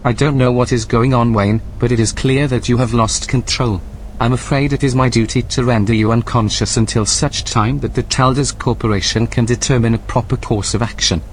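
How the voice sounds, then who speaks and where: A man playing an officious male artificial intelligence in an audio drama, speaking in formal, measured, precise sentences. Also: mid-range voice, steady tone, a little hiss or hum, natural voice, poor audio. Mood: stern, puzzled. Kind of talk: scripted audio drama acting.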